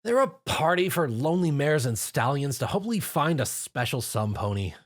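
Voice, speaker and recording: A man's voice: fairly high pitch, big pitch swings, normal speed, pitched above the performer's own voice. A man doing a brash tomboy pony. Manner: blunt, scoffing. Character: brash sporty tomboy pegasus mare.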